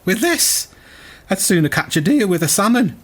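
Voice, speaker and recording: An actor playing an earthy, sarcastic dog owner in an audio drama, husky and bluntly indignant. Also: medium pitch, sing-song, normal speed. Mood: wry, resigned. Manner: blunt, sardonic, rustic speech.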